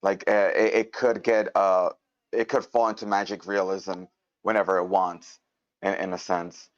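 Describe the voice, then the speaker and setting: Man, low voice, halting informal critique, dry close sound on an online meeting. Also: level tone, no echo. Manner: hesitant, searching for words, repeating himself. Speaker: participant giving script feedback. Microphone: online meeting mic. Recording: dry, muffled online-call audio.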